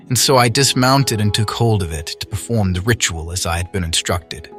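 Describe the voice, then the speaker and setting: Young knight male actor, earnest and solemn, lowish voice, archaic storytelling for audio drama, music underneath. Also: fairly bright tone, natural voice. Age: young adult. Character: earnest young Arthurian knight recounting his adventure. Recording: voice over orchestral music.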